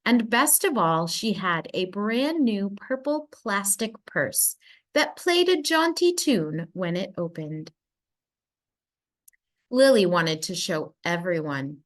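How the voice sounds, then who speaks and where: A woman reading a children's story aloud, narrating expressively with deliberate, dramatic emphasis. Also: mid-range voice, big pitch swings.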